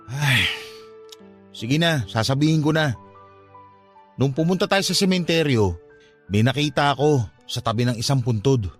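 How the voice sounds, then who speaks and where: Animated, defensive young nephew played by a man. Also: medium pitch, moderate pace, long pauses, faint music behind, natural voice. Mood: sheepish confessing. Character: defensive, curious young nephew caught in mischief. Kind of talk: radio drama acting.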